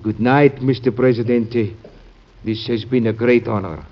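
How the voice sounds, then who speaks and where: A man's voice: medium pitch, steady tone, normal speed, slight background noise, close to an everyday voice. Vintage radio drama petitioner, played by a man: fervent, humble islander, mid-range voice, solemn formal diction, lo-fi sound. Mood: humble, grateful. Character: humble, fervent male islander pleading for his people. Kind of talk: classic American radio drama acting. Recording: dull band-limited old radio recording.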